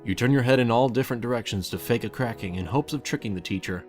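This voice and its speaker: A man's voice: mid-range voice, fast talker, a little mellow, faint music behind. A man reading a scripted story aloud as narrator, addressing the listener as the main character. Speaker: fan fiction narrator.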